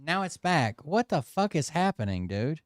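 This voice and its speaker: A man's voice: a little high, sing-song, moderate pace, dry recording, clean studio sound. A man giving casual, blunt and profane commentary during a live stream.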